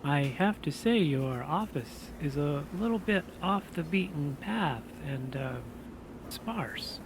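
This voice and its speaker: A man's voice: on the high side, expressive, slow pace, creaky, background noise, full character voice. A man playing a puzzled, wary husband and prospective client in an audio drama, speaking hesitantly and questioningly. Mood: polite, curious.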